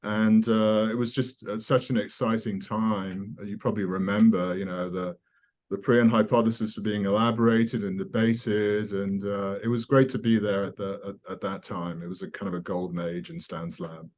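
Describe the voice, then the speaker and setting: An older man from South Wales with a softened British accent, speaking as a guest scientist in a conversational, halting, informal way. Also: lowish voice, flat delivery. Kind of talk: conversational interview, personal recollection.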